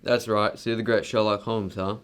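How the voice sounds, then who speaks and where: A hot-tempered, wealthy tycoon in a radio mystery play, acted by a man. The voice is deep, level and very clear, blunt and commanding in delivery. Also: little pitch movement, brisk, close to an everyday voice. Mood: blunt, impatient. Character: Neil Gibson, imposing, blunt, hot-tempered wealthy mining magnate. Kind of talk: amateur radio drama acting.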